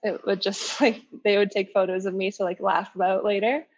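A woman in her late twenties from Canada, a guest telling her story candidly and laughing softly between phrases, calling in from a coffee shop. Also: mid-range voice, fairly even intonation, a little fast, husky, highs cut off. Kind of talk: personal storytelling in an interview.

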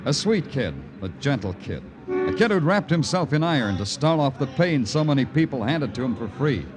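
An American actor playing a world-weary, tender-hearted city detective, narrating in a poetic, hard-boiled old radio crime drama style. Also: male voice, mid-range voice, big pitch swings, moderate pace, natural voice. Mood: brooding. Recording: old radio recording with music.

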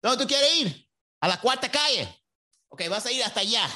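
A man's voice: very high voice, animated, quick pace, sharp tone, pitched above the performer's own voice, exaggerated voice. A man putting on a chatty Cuban man with a Cuban Spanish accent who gives rambling, gossipy street directions.

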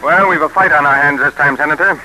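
An actor playing a forceful political campaigner in an old radio drama, emphatic and rousing in his appeals. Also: fairly high pitch, quick pace, poor audio. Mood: brisk, insistent. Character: forceful male anti-slavery political campaigner rallying a senator. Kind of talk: old-time American radio drama acting. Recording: hissy vintage radio recording.

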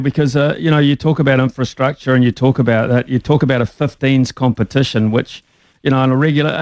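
A man's voice: medium pitch, dry recording. A man hosting a radio interview, asking probing questions conversationally. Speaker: radio host.

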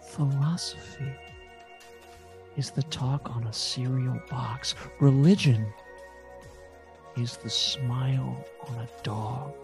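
A man's voice: medium pitch, steady tone, slow, vocal fry, pauses often, over music. A man playing an eccentric, domineering, unqualified therapist in improvised comedy, coaxing and rambling with mock authority. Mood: slow, hypnotic. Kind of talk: improvised comedic character acting.

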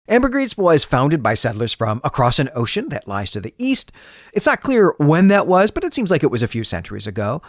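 A man's voice: mid-range voice, no room sound, clean studio sound. A man hosting a book club podcast, talking in a discursive, explanatory way with self-aware asides. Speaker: podcast host. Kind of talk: book club podcast commentary, semi-scripted.

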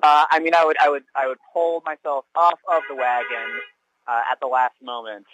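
A man's voice: medium pitch, fairly even intonation, moderate pace, a bit bright, a little hiss or hum. A man in his thirties, a teacher, chatting wryly and rambling with lots of fillers, on a phone call from a sheltered spot outdoors. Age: 30s. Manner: rambling, wry, many fillers and restarts. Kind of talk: casual phone chat.

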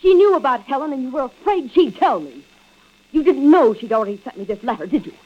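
An actress playing a composed, suspicious wronged wife in a vintage radio thriller, with a deliberate, theatrical delivery. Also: female voice, high voice, animated. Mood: accusing. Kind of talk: 1940s-50s radio drama acting. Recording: dull vintage radio recording.

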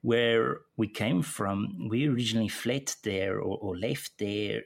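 A middle-aged man with a South African accent, telling his own story in an interview, halting and often repeating words as he restarts. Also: lowish voice, gravelly. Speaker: interview guest. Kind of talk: personal account in conversation.

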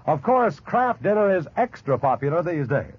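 An American man reading a 1940s radio advertisement as a commercial announcer, with a husky voice. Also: on the high side, very animated, normal speed. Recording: dull vintage 1940s radio recording.

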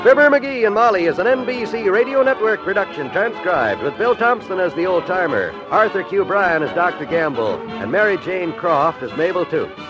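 Animated, high-pitched 1950s male radio announcer. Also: moderate pace, few pauses, music in the background. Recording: dull vintage radio recording.

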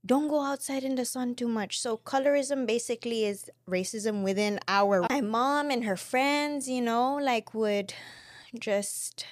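A woman in her twenties with a mixed Filipino and Pacific islander accent, telling her story as a podcast guest in a lively, chatty way. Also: a little high, unhurried, dry recording, clean studio sound. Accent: Pacific islander and Filipino mix. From Saipan and Guam. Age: 20s. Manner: chatty, animated storytelling with acted-out quotes. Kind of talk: casual interview chat.

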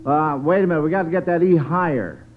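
A narrow, tinny vintage radio recording carries an American man with a high-pitched voice. He hosts a children's quiz in an avuncular, chatty style, addressing the youngsters like a friendly uncle. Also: quick pace. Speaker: quiz show host.

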